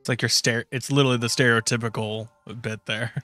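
A man's voice: medium pitch, little pitch movement, brisk, bright, forceful tone, soft background music. A man giving casual, profane reaction commentary as a streamer. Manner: wry, profane, laughing.

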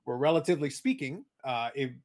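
A man co-hosting a casual podcast, talking quickly about trading cards with dry jokes, on a video call. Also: mid-range voice, big pitch swings, a little mellow, slight background noise. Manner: quick, chatty, dry joking. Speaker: podcast co-host.

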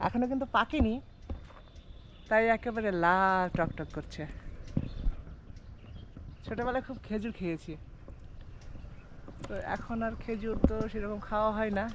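A man with a chatty, easygoing manner walks through a village, talking to his viewers. He stops now and then to ask locals questions. The outdoor recording carries clear background noise. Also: high-pitched, a little slow, long pauses. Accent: Bengali. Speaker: travel vlogger. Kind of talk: casual walking vlog narration.

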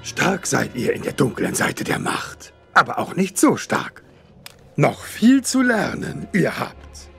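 A tiny, ancient alien master in a space audio drama. The voice is a thick gravelly croak that swoops up and down. He muses calmly and playfully, with words in inverted order, over faint music. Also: fairly high pitch, heavily acted. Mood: stern, calm. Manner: inverted word order, measured, musing.

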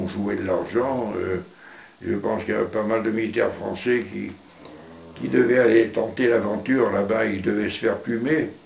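A man's voice: fairly low pitch, vocal fry, darkish tone, echoey room. An older man recalling his past in an interview, hesitating often and restarting his phrases. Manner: hesitant, many fillers and restarts. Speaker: veteran interviewee. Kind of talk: recounting memories in an interview. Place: living room indoors.

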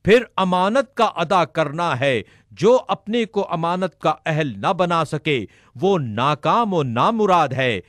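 A man reading a sermon text aloud in a measured, formal recitation. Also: on the high side, expressive, a bit bright, no room sound, clean studio sound. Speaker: reader of religious sermons.

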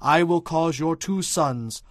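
A stern prophet in an audio Bible drama. He declaims solemnly in a fairly high voice. The recording is dry and close. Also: takes it slowly, no echo. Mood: stern condemnation. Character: stern prophet delivering God's judgment.

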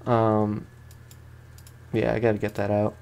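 A man's voice: lowish voice, completely flat delivery, slow pace, gravelly, a little mellow. A man giving casual, rambling let's-play commentary with informal slang, chatting to viewers as he plays. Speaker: gaming content creator, let's play host. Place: room at home.

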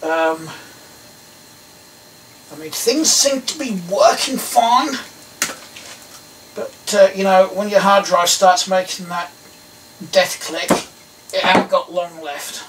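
A man's voice: high-pitched, slow, pauses often, a bit bright. Englishman chatting, a bit distant and noisy. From England. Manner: rambling, easily sidetracked, thinking aloud. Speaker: host of a DIY electronics video. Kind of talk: casual hands-on tutorial chat. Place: small tiled indoor workroom. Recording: distant mic, some background noise.

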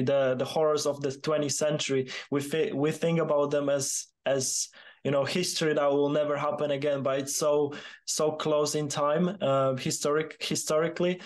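Young Polish man, very even pitch. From Poland. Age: 20s. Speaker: interviewer. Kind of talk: conversational video interview. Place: small room, indoors, video call.

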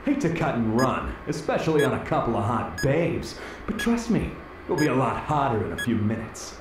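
An actor playing a gleeful, theatrical pyromaniac villain in an audio drama, taunting and grandiose. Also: male voice, medium pitch, big pitch swings, moderate pace, background noise, close to an everyday voice.

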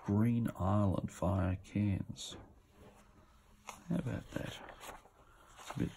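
A man's voice: fairly low pitch, takes it slowly, gravelly, warm tone, a little hiss or hum. A man giving casual, off-the-cuff commentary as he presents auction items, musing to himself as he goes. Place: indoors at a table.

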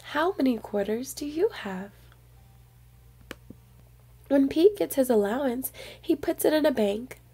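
A woman reading a picture book aloud to young children in an expressive storyteller's manner. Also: high-pitched, unhurried, long pauses.

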